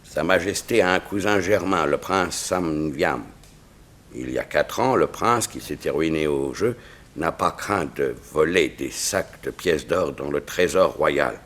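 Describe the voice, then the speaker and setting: Grave foreign dignitary, very low voice, measured formal phrasing, vintage French radio drama. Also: male voice, fairly bright tone, exaggerated voice. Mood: solemn, confiding. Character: grave, dignified Siamese diplomat confiding state secrets. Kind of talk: 1960s French radio mystery serial acting.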